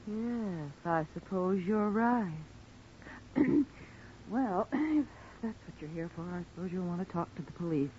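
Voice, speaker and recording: An actress playing a prim, hesitant elderly housekeeper, formal and disapproving, in an old-time radio drama. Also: female voice, lowish voice, expressive, a little slow, dark tone. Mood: hesitant, uneasy. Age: older. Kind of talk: 1940s-50s radio acting. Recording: noisy, muffled old radio recording.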